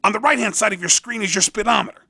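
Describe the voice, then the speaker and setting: A man playing an upbeat young hoverboard race promoter in a video game, talking in slangy, cocky sales patter with a raspy edge. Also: high voice, racing, pushed and bright, full character voice. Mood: cocky, instructive. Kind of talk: scripted video game character dialogue.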